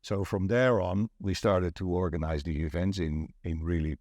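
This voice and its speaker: A mature man with a Dutch accent and a very low voice, recorded close and dry. He is an event organiser being interviewed, recounting things in a measured, deliberate way. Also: steady tone, darkish tone, no room sound. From Netherlands.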